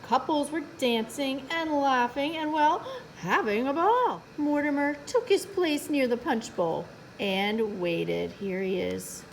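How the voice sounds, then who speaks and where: A woman with a slightly high voice reads a picture book to young children. She takes her time, telling the story playfully and turning to her listeners with chatty asides. There is faint noise behind her. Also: takes it slowly, vocal fry, few pauses, poor audio. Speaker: children's librarian, storytime host.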